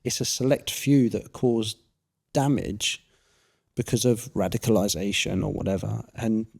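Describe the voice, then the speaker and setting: A man's voice: fairly low pitch, fairly even intonation, measured pace, gravelly, darkish tone, slightly rough. A man hosting a casual interview who talks in a rambling, chatty way. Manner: rambling, chatty, many fillers and restarts. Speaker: podcast host.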